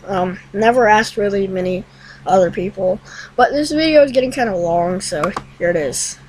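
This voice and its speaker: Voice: mid-range voice, moderate pace, slight background noise, rough recording. A young teenager hosting a casual screen-recorded tutorial in a rambling, hesitant way. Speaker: tutorial host. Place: room at home.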